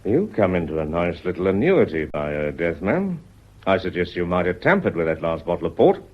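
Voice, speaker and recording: An actor playing a courteous, dogged police inspector in a period mystery radio drama, politely and deliberately probing. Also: male voice, deep voice, big pitch swings, muffled recording. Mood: stern. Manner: polite, deliberate questioning with frequent "sir" and "miss".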